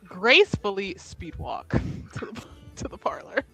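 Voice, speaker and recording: A woman's voice: mid-range voice, sing-song, measured pace, sharp tone. A woman playing a tabletop roleplaying game, talking in casual, improvised chat.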